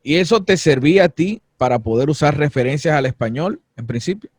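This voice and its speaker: A man's voice: a little high, animated, fairly quick, darkish tone, husky, no echo, studio quality. A man hosting an interview, asking playful, probing questions in a casual conversation. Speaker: interviewer host.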